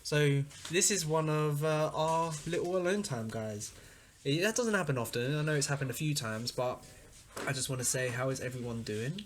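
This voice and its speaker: A young man co-hosting a podcast, easygoing and quick to laugh, speaking rather quietly. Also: on the high side, slight background noise. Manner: easygoing, laughs often, talks quietly into the mic. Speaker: podcast co-host. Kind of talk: casual chat.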